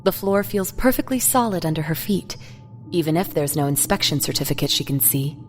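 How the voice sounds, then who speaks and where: A woman's voice: low-pitched, animated, brisk, soft background music. A woman narrator reading a scripted dramatic story in the third person.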